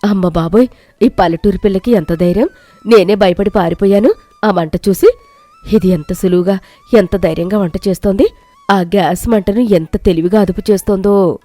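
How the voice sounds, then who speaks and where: A woman voicing an anxious older village mother-in-law in an animated storybook. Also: medium pitch, normal speed, no echo, faint music behind, lightly acted. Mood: amazed.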